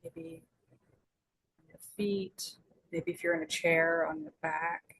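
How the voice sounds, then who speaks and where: A woman leading a guided meditation as its guide, speaking in gentle, soothing invitations with long pauses. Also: low voice, fairly even intonation, low-quality recording.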